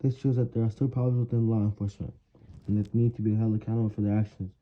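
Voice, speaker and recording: A man's voice: lowish voice, flat delivery, very fast, muffled recording. A young man, a student, reading prepared written answers aloud in an earnest way, now and then stumbling over words.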